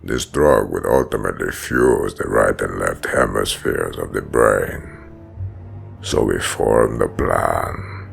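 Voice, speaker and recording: A man playing a huge, menacing, obsessed prison-bred villain in a comic dub, narrating with slow, grandiose deliberation in a rumbling, gravelly voice. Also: faint music behind, exaggerated voice. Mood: brooding, resolute. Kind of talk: dramatic first-person comic dub narration.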